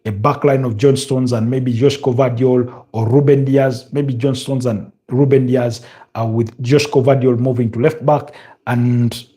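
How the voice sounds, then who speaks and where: A man with a Ugandan accent and a mellow, warm voice at an ordinary middle pitch. He previews football matches in a loose, off-the-cuff manner, stopping often to search for words. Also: steady tone. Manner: halting, many fillers and restarts. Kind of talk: casual live-stream football talk.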